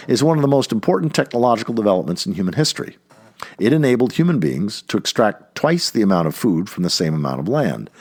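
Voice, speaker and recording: A man reading an essay aloud in an emphatic way, stressing key words, with a husky voice. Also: low voice. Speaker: reader of an essay. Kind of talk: read-aloud essay narration.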